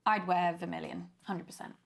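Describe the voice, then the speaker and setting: A woman's voice: on the low side, fairly even intonation, moderate pace, gravelly. An actress playing a supportive, easygoing young woman in a family mystery game.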